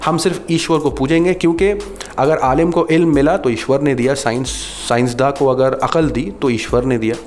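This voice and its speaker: A man with an Indian accent hosting an explanatory talk to viewers, speaking earnestly in measured phrases. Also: medium pitch, fairly even intonation, a little mellow. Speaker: video host explaining and commenting. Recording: clearly noisy background ambience.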